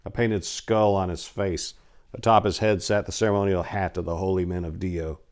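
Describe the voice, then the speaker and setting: A man's voice: deep voice, steady tone, normal speed. A man who hosts and performs dramatic story readings, speaking in a theatrical, emphatic way. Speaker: channel host and story reader.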